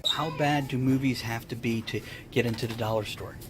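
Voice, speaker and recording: A man's voice: medium pitch, fairly even intonation, fairly quick. A man giving casual, joking commentary on products in a crude, wisecracking manner. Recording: noisy background ambience.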